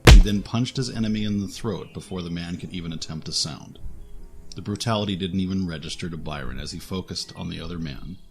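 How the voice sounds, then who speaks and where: A man's voice: sits low, a little fast, soft background music. A man reading scripted narration for a fantasy action audio drama as its narrator.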